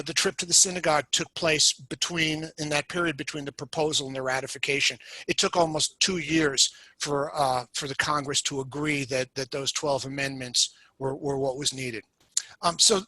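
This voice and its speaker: A man from the United States, a podcast guest, explains history in a measured, step-by-step storytelling way. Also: on the high side, sharp tone, no echo, poor audio.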